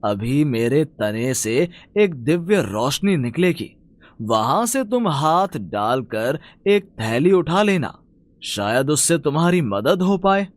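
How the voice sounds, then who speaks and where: A man voicing a kindly magical talking tree in a cartoon fairy tale, speaking slowly and deliberately with care. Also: on the high side, sing-song. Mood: kind, reassuring.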